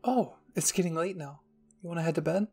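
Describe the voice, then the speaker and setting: Man putting on a higher, kindly young woman. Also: fairly quick, soft background music. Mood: kind, soothing. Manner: soft, friendly, encouraging. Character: kind, curious, gently teasing young woman soldier. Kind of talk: anime fan fiction roleplay reading.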